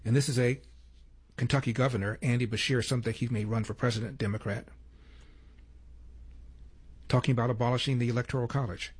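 Sardonic male host framing clips, husky tone. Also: medium pitch, fairly even intonation, a little fast, pauses often. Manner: sardonic, skeptical asides. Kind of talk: commentary between clips.

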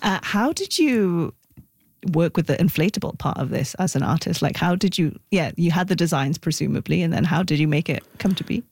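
A woman's voice: deep voice, expressive, brisk, gravelly, a little mellow, husky, no room sound, studio quality. Woman hosting morning radio. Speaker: radio host interviewing a guest. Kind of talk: live morning radio interview. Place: radio studio.

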